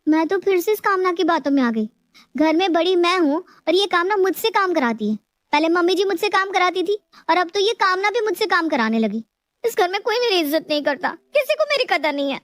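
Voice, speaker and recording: A woman playing a put-upon, scheming young daughter-in-law in an animated moral story, with a plaintive, exaggerated delivery. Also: extremely high pitch, fairly quick, dry recording, clean studio sound. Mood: hurt, resentful.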